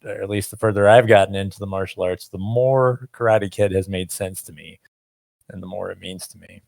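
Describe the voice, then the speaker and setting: A man's voice: low voice, fairly even intonation, fairly quick, gravelly, darkish tone, no echo. A man co-hosting a casual podcast, talking in a rambling, reflective way with many fillers. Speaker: podcast co-host, martial arts teacher.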